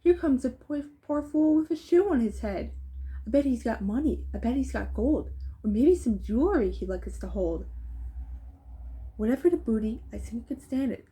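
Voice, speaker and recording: A woman's voice: fairly high pitch, lively intonation, moderate pace, mellow, lightly acted, rough recording. A woman voicing a nasty, greedy bandit leader in a storybook, gloating and theatrical.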